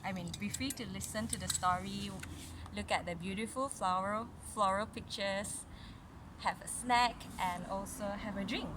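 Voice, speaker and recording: A woman reading a picture book aloud to a young child, with gentle, encouraging, chatty asides to explain the pictures. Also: medium pitch, normal speed. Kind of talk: casual read-aloud storytelling with chatty asides. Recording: noisy recording with vehicle-like background hum.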